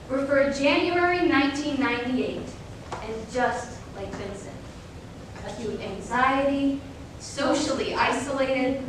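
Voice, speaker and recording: A young woman giving dramatic scripted narration on stage with deliberate delivery. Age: young adult. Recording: echoey room, distant mic, noisy rumble.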